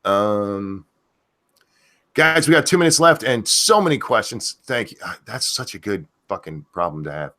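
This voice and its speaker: A man's voice: fairly low pitch, very animated, moderate pace, fairly bright tone. A man hosting a casual livestream chat, blunt and self-assured, swearing freely and restarting his sentences. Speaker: show host.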